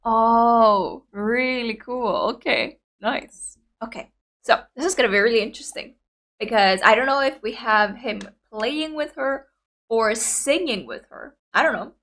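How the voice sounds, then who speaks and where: A young woman whose first language is Greek chats casually and excitedly, her voice sitting a bit high. She fills her talk with casual fillers and restarts as she reacts. She talks like a video host addressing viewers. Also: a little slow. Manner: chatty, excitable, many fillers.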